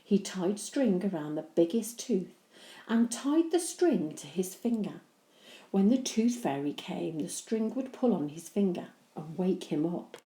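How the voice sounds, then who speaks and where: Female children's story reader, expressive and unhurried, wide-ranging intonation, roomy recording. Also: lowish voice. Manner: animated, deliberate. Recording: echoey room.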